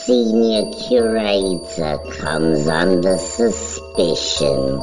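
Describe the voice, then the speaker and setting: Very deep, slow, gravelly male narrator. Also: lively intonation, music in the background. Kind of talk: scripted dramatic narration.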